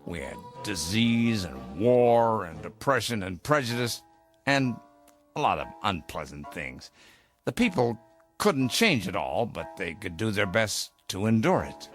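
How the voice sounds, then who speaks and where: A man's voice: on the low side, big pitch swings, a little slow, faint music behind. A man playing an eager older underground jokester in an audio drama, coaxing a recruit in a theatrical way. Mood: wistful.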